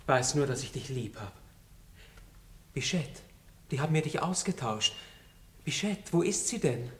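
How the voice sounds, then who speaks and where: A man's voice: fairly high pitch, animated, unhurried, slight background noise. An actor playing a young nobleman pleading with his older lover in a radio play. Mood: ardent. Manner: pleading, heartfelt. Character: young male noble lover, ardent and devoted. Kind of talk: 1960s radio play acting.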